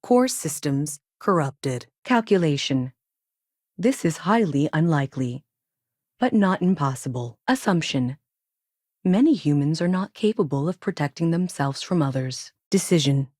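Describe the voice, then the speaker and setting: Video game ship AI, female: low, dry-recorded voice, measured and robotic, coolly logical with a childlike earnestness, clipped phrasing. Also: expressive, a little mellow, no room sound. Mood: measured, analytical. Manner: clipped, announces each statement with a one-word label, literal. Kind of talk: video game character dialogue.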